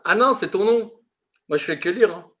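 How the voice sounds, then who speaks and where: A man's voice: high voice, lively intonation, normal speed, sharp tone. A man teaching a teen improv class over a video call, teasing the students with lots of laughs and fillers.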